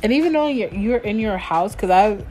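A woman's voice: mid-range voice, animated, moderate pace, darkish tone, faint music behind, rough recording. A woman hosting her own show, talking loosely and casually on her own, with slang and frequent restarts. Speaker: podcast host. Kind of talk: casual solo chat.

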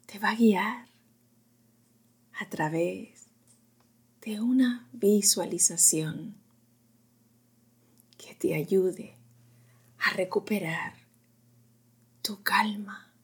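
A woman narrating a guided sleep meditation in a gentle, unhurried, whispery voice, in short phrases with long pauses. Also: medium pitch. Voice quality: breathy, whispery, often dropping into whisper.

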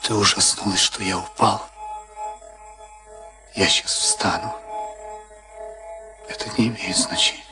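An actor playing a battered former prisoner turned boxer, weary and stubborn, speaking a halting, breathy inner monologue in a radio play. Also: male voice, sits low, fairly even intonation. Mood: dazed, struggling. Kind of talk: Soviet radio play acting. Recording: archive radio play with music behind.

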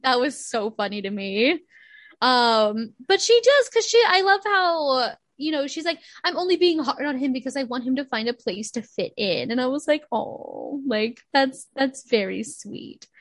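A young woman co-hosting a casual podcast chat, animated, laughing often and acting out quotes. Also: sits high, measured pace, no room sound, studio quality. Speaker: podcast co-host.

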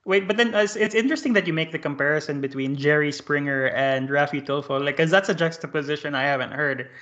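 A man's voice: fairly high pitch, fast, fairly bright tone. A man hosting a podcast interview, speaking thoughtfully with lots of "like" and "uh". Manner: thoughtful, filler-heavy with "like" and "uh". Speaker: podcast host. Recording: muffled lo-fi audio.

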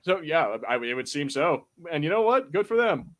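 A man's voice: a little high, big pitch swings, normal speed, a bit bright. A man co-hosting a podcast, talking in a casual, rambling way as he reviews a show. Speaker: podcast co-host. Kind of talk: casual film review chat.

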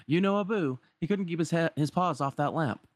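A man's voice: fairly high pitch, fairly quick, no room sound, pitched above the performer's own voice, close to an everyday voice. A man voicing a friendly, easygoing young street lad from a game in a breezy, informal way. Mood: apologetic, breezy.

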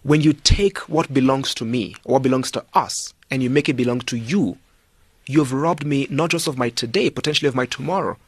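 A man's voice: medium pitch, a little fast, breathy edge, no room sound. A man speaking as a studio guest on a radio talk show, making his points emphatically with pointed rhetorical questions. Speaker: studio guest, political commentator.

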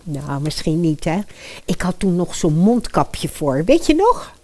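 An actress playing a friendly, smart dental assistant who talks sweetly and ingratiatingly in an audio fairy-tale drama. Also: female voice, lowish voice, sing-song, measured pace, warm tone. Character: smart, friendly dental assistant courting boss's daughter's favour.

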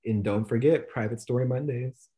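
A young man co-hosting a casual podcast, his voice soft and mellow. He rambles easily, leaning on fillers and breaking into laughs. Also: mid-range voice, normal speed. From United States. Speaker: podcast co-host. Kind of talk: casual chat.

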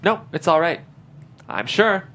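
A woman's voice: on the low side, sing-song, measured pace, a bit bright, exaggerated voice. A woman voicing a jovial, wisecracking middle-aged male doctor in a script read. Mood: reassuring.

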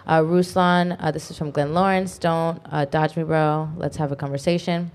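A woman co-hosting an online talk show, reading viewer comments aloud quickly, with frequent fillers. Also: low voice, fairly even intonation, darkish tone, clear, resonant tone. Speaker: co-host reading super chats. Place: online video podcast studio.

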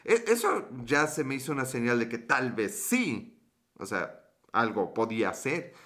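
A man's voice: mid-range voice, very animated, measured pace, bright, forceful tone. A young Mexican man hosting a livestream, telling a rambling, slangy story and answering chat, laughing often. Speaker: livestream host. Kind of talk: casual storytelling to live chat.

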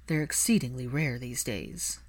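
A woman's voice: a bass voice, lively intonation, a little slow, voice pushed down, exaggerated voice. A woman voicing a composed young aristocratic man in an audiobook story, with a dry, understated, guarded manner. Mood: earnest.

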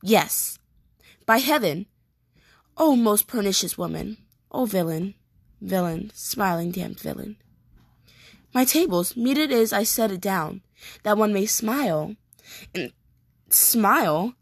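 A woman playing an anguished, vengeful young prince in a declamatory Shakespearean stage monologue with dramatic pauses. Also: medium pitch, expressive, breathy edge, no echo, studio quality, full character voice. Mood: bitter, outraged. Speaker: performer rehearsing a soliloquy. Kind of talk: theatrical Shakespearean monologue, repeated takes.

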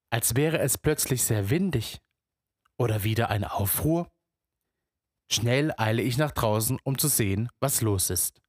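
A man's voice: medium pitch, no echo, studio quality. A man telling a story in the first person, with musing, immersive narration.

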